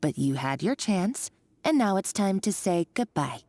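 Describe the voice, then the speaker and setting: A woman's voice: medium pitch, very animated, a little slow, warm tone, no room sound. An actress playing a gloating, scheming female villain in a video game, theatrical and sardonic, taunting as she talks. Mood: menacing. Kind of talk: dramatic video game voice acting. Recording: game dialogue over background music.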